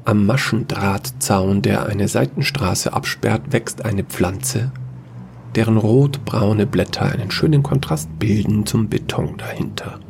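A man with a fairly low, crackly voice narrates a science-fiction fairy tale for audio. His delivery is measured and scripted, in the manner of a storyteller. Faint noise sits behind the voice. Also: gravelly.

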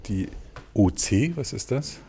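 A man's voice: lowish voice, lively intonation, takes it slowly, creaky, mellow, a little hiss or hum. A male podcast host who asks friendly, curious questions and introduces his guest. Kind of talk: podcast interview.

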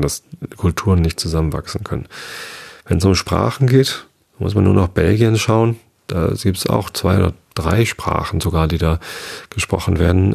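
Deep gravelly guy thinking out loud, lots of ähms and restarts. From Northern Germany, Hamburg area. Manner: hesitant, many fillers and restarts. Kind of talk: reflective monologue.

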